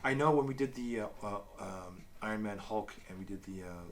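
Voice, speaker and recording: A man hosting a casual review chat, speaking haltingly and often repeating and correcting himself. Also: lowish voice, slight background noise. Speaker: host of a review show.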